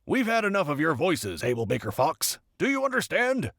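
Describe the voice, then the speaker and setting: A gruff military general, as a male narrator plays him, barks orders and threats in a storybook reading. He is short-tempered and curt, with a somewhat high voice that swings up and down sharply. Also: wildly swooping pitch, normal speed, fairly bright tone, no echo, studio quality, full character voice. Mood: angry, threatening. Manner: barking, curt, threatening, swearing. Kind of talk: scripted audiobook character dialogue.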